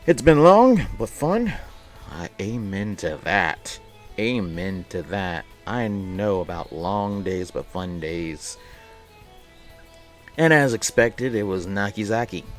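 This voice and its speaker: A man with a fairly low voice and very lively intonation hosts a casual livestream. He rambles playfully, repeating phrases as he chats and reads aloud. Faint music plays behind him. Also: slow. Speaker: live streamer. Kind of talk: casual livestream chat and reading a visual novel aloud.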